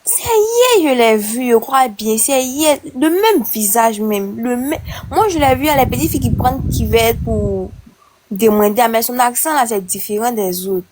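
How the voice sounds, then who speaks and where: A woman calling in to a live stream to say where she saw a girl, talking excitedly and jumping between thoughts. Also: a little high, moderate pace. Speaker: witness calling in.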